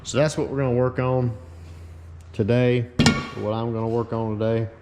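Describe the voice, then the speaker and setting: A man's voice: mid-range voice, moderate pace. A man giving a casual, plain-spoken, folksy explanation of his hands-on car work, as host of his own video. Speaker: host, race car builder. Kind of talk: casual explanatory shop talk. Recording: clear steady background noise, ambient hum.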